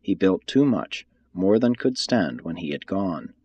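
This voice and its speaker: A man reading a religious text aloud as scripted narration, in a measured, formal manner. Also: low voice, animated, a little mellow, slightly rough, highs cut off. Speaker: audiobook reader.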